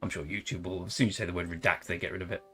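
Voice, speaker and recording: A man's voice: low voice, steady tone, rapid-fire, sharp tone, faint music behind. A young man chatting casually while live-streaming a strategy game, rambling and joking. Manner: jokey, rambling, tries silly accents. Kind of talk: casual live-stream chat over gameplay.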